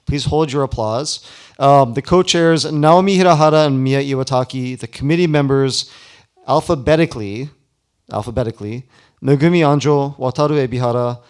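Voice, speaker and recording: A man's voice: medium pitch, moderate pace, a little mellow, clean tone. A man hosting a live ceremony in a casual, informal way with playful banter. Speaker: event host. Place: live awards ceremony before an audience.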